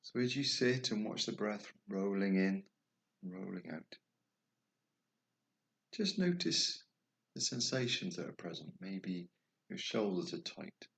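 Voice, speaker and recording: Deep gravelly man guiding meditation. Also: lively intonation. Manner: slow, soft and soothing, trailing off toward a whisper. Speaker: meditation guide. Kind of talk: guided meditation.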